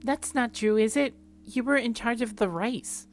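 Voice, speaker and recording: A man voicing a timid, soft-hearted young woman with a hesitant, stammering manner in a visual novel. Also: extremely high pitch, moderate pace, soft background music, pitched above the performer's own voice. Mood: gentle.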